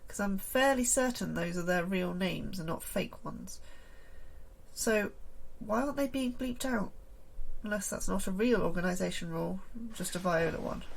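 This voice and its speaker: A woman's voice: mid-range voice, expressive, moderate pace, creaky, poor audio. A woman playing an anxious, prickly astrobiologist in a science fiction audio drama, fretful and defensive, thinking aloud. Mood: uneasy, pensive.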